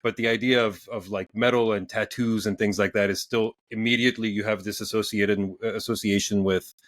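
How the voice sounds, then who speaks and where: A man in his forties hosting a casual podcast interview, talking in halting bursts with many restarts and repeated words. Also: on the low side, fairly even intonation, fairly bright tone, no room sound, studio quality. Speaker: podcast host.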